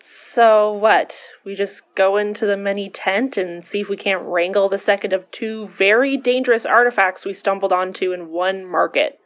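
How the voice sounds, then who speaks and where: An actress playing a protective, blunt young sidekick in audio fiction, talking in an informal, wry and emphatic way. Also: female voice, medium pitch, normal speed, hardly any pauses, lo-fi sound, close to an everyday voice. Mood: teasing, wry. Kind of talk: audio fiction dialogue acting.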